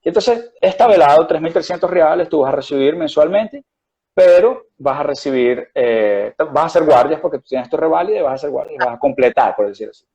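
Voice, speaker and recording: A man with a Venezuelan accent speaks in a fairly high voice. He explains at length, restarting his sentences and filling gaps with "eh". The audio is muffled and lo-fi. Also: lively intonation, brisk, darkish tone. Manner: rambling, frequent restarts and fillers. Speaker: guest doctor. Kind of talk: conversational interview.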